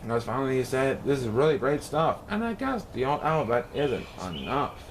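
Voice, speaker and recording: A man reading a rhyming children's story aloud in an expressive, playful, sing-song way. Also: mid-range voice, normal speed, a little hiss or hum. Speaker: picture book reader.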